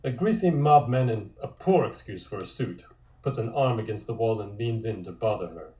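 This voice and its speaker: A man narrating a scripted comic table read in measured, descriptive storytelling, with echoey room sound. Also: lowish voice, lively intonation, dark tone.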